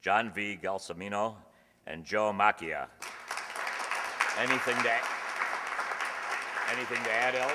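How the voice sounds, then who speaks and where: A man with a very low voice speaks as a live announcer, thanking performers and crew. He is in a reverberant hall, with clear noise and audience sound around him. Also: unhurried, pauses often, over a noisy crowd. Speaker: announcer and cast member. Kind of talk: live curtain-call thanks to cast and crew. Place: indoor hall before an audience.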